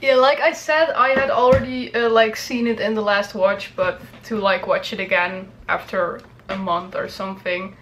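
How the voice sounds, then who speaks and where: Casting: woman reaction vlogger, mid-pitched, informal, rambling and hedging delivery. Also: fairly even intonation, moderate pace, hardly any pauses, a bit bright, slight background noise. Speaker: YouTube reaction video host. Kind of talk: casual chat to viewers.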